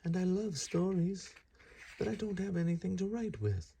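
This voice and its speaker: A man putting on a playful, proud little boy god from a picture book, voiced noticeably higher than the reader's own low voice. The delivery is childlike and expressive, carried along with lively swings of pitch. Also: normal speed, vocal fry, slightly rough, heavily acted. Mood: earnest.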